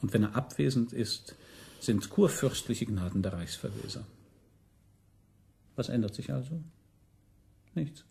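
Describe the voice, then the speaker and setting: Sly deferential court counselor for radio drama, gravelly, dark muted tone, really raspy. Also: male voice, mid-range voice, expressive, unhurried, long pauses, heavily acted. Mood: sly, persuasive. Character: shrewd, deferential male court adviser to a prince. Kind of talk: German radio drama acting.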